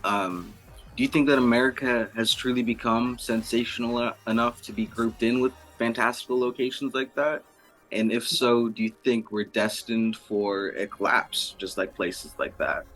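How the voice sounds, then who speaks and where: A man's voice: medium pitch, moderate pace, a bit bright, faint music behind, rough recording. A man interviewing a musician, asking wordy, descriptive questions in a prepared but informal way.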